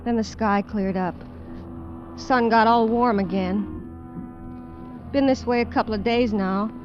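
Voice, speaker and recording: An actress plays a greedy, stranded American woman in a vintage radio play. Her voice is high and her storytelling plain and brooding. Music plays behind the muffled old recording. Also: fairly even intonation, measured pace, lightly acted. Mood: weary, forlorn. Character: desperate greedy woman stranded alone on a derelict ship. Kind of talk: 1950s radio drama acting, first-person confession.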